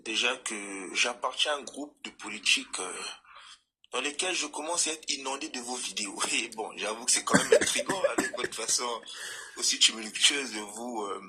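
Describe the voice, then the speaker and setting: A man from Congo-Brazzaville calling into a live stream, speaking formally and deliberately about politics. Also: mid-range voice, sharp tone, slight background noise. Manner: articulate, formal, deliberate phrasing. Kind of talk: measured political commentary.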